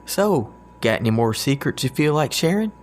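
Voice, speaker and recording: A man's voice: medium pitch, sing-song, moderate pace, a little hiss or hum, lightly acted. A man playing a loyal, good-natured and goofy agent with a folksy, joking manner in an audio drama. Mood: joking, easygoing. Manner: folksy, warm-hearted, joking.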